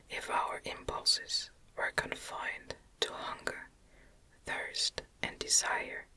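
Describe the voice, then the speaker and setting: A woman narrates a classic gothic novel entirely in a whisper. Her delivery is very slow and gentle, with soft, measured phrasing and a crisp, very bright breathy edge suited to ASMR. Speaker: audiobook narrator.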